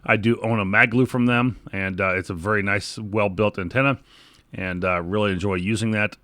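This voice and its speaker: A man's voice: fairly low pitch, fairly even intonation, normal speed, fairly bright tone, no echo, studio quality. An American man hosting a podcast, moving between read news items, sponsor reads and informal remarks. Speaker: podcast host.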